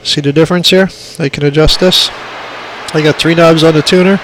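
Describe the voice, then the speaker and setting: Casting: man, slightly high voice, plainspoken hobbyist walking through gear informally, repeats key points, faint noise on a homemade phone recording. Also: fairly even intonation, brisk. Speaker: radio hobbyist demonstrating equipment. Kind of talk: informal hands-on tutorial. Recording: clearly noisy amateur phone recording.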